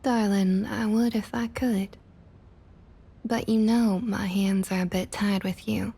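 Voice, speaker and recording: A woman playing a smug, opportunistic vampire council member in an ASMR roleplay, with a soft breathy Southern US accent and coaxing, condescending sweet talk. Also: mid-range voice, fairly even intonation, takes it slowly. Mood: amused, coaxing. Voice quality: soft, breathy, slightly husky. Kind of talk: ASMR roleplay, intimate scripted monologue.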